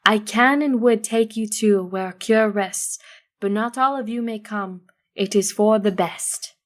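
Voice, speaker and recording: A woman voicing a wise, cryptic female zebra healer in measured rhyming couplets. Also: medium pitch, natural voice. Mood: solemn.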